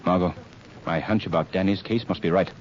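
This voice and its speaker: Man playing wealthy gentleman, deep voice, polished, decisive, 1930s radio drama, dull recording with light hiss. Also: fairly even intonation, brisk, lightly acted. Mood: purposeful. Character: suave, decisive wealthy man about town.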